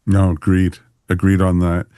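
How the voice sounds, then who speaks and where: A man with a very deep voice chats as a podcast panel host. The sound is dry and close, and his delivery is casual and conversational, as in a relaxed group review. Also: moderate pace, smooth, no room sound. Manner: conversational, punctuated with "you know". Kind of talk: casual discussion, reviewing a story.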